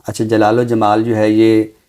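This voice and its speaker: A man with a Pakistani accent presenting a literary book review in a measured, scholarly, deliberate way. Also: lowish voice, completely flat delivery, mellow. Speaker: literary guest presenter introducing books.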